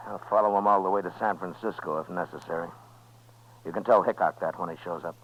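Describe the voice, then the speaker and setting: Calm, laconic US marshal, very low voice, American, vintage radio western, dull old recording. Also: male voice. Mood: businesslike. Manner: laconic, measured, understated. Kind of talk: 1950s radio western acting and narration.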